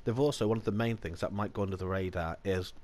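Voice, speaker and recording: A man with a bad cold, slightly nasal, hosting a casual gaming channel update in a chatty, relaxed way. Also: low voice, fast. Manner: chatty and relaxed, with small laughs and restarts.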